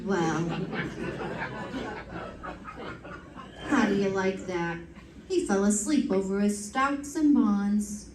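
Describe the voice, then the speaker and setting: A woman's voice: medium pitch, slow, a little mellow, natural voice. A woman playing a brash, impatient streetcar conductor in an old-time radio drama. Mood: surprised. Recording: echoey, distant mic, noisy background.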